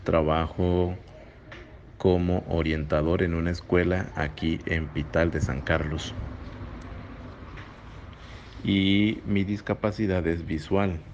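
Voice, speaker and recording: A man's voice: deep voice, steady tone, a little slow, darkish tone. A man in his thirties with a Costa Rican accent, introducing himself and answering interview questions. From San José, Costa Rica. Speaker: school counselor, interviewee. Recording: muffled, noisy recording.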